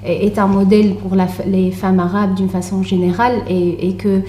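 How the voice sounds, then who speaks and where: Mellow-voiced young woman, halting delivery. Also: medium pitch, little pitch movement. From Tunisia. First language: Arabic. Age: young adult. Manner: hesitant, halting delivery with repeated words. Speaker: interview guest, author and translator. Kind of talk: conversational interview answers. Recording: roomy echo, faint background noise.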